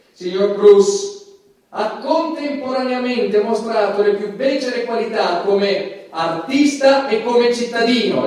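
An actor playing a stern, indignant judge who moralizes in a formal, emphatic, theatrical way. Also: male voice, very high voice. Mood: stern, accusing. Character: indignant, stern male judge condemning an obscene comedian. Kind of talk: theatrical stage monologue. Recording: echoey hall, distant mic, music behind.

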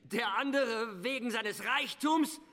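An actor in a German radio play as a plain-spoken, sardonic village man with a deliberate delivery. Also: extremely high pitch, gravelly, bright, forceful tone, exaggerated voice.

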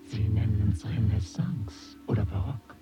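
An actor playing a knowing, aloof cosmic being in an audio drama, explaining in a matter-of-fact manner. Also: male voice, on the low side, a little slow, close to an everyday voice. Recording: dull sound, music underneath.

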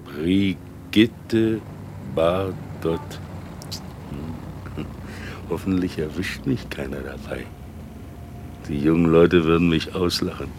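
Deep, slow old street sweeper. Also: male voice, a little mellow, slight background noise. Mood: furtive, sly delight. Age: older. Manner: grumbling, brooding, deliberate. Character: bitter, sly old male street sweeper. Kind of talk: radio play monologue.

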